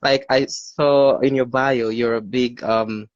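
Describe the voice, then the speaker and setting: A man's voice: medium pitch, fairly even intonation, takes it slowly, no room sound, dull audio. A man hosting an interview, asking questions in a chatty, loose way with frequent laughs and backchannel "mm-hmm"s. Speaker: interview host. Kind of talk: casual interview chat.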